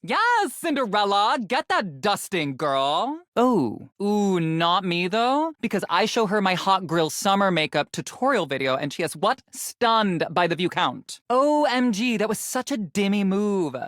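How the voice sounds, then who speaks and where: A woman's voice: medium pitch, sing-song, a little slow, few pauses, fairly bright tone, dry recording, clean studio sound. A woman voicing a vain, trendy young influencer in a video game, self-absorbed and flippant, with breezy valley-girl slang. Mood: breezy, bragging. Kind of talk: video game character acting.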